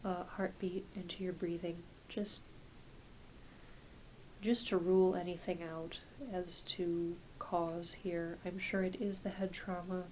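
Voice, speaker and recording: A woman acting as a gentle female doctor in an ASMR exam roleplay. Her voice is soft and on the low side, with slow, hesitant phrasing that often slips toward a whisper. Clear hiss sits behind her. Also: level tone, rough recording. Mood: gentle, reassuring. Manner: soft-spoken, hesitant, halting, often trailing into a near-whisper. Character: gentle, reassuring, slightly scattered female doctor for werewolf patients. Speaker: ASMR performer. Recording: close home recording with clear hiss.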